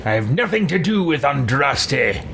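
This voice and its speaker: A man voicing a proud, scheming fantasy hero who is quick to fury, curt and theatrical, in a game roleplay. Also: medium pitch, very animated, gravelly, over music. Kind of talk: improvised roleplay of a video game character.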